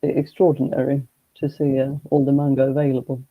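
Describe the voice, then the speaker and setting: A man speaking at a mid pitch in an even, flat tone. He talks haltingly, with many restarts and fillers. The sound is dull and lo-fi, and the room is dry. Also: no room sound. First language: Swedish. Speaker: guest. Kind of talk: casual conversation.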